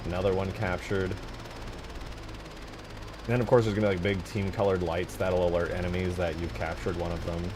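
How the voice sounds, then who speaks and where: A man's voice: low-pitched, little pitch movement, a little fast, pauses often, background noise. A man giving casual, rambling commentary as a game modder, thinking aloud in slangy, informal speech. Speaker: game modder showing work in progress.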